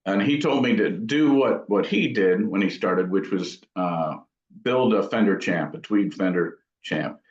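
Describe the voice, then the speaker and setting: Middle aged guy, lowish voice, chatting in an interview, thinks as he talks, bit muffled and roomy. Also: a little slow. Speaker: guitar amp builder, interview guest. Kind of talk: casual interview answers, reminiscing. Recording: roomy, dull lo-fi sound.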